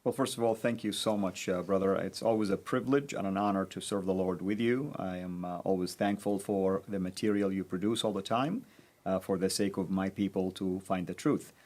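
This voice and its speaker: Low-voiced man with measured, hesitant delivery. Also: darkish tone. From Saudi Arabia. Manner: measured, frequent pauses and fillers. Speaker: guest. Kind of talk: personal testimony in conversation.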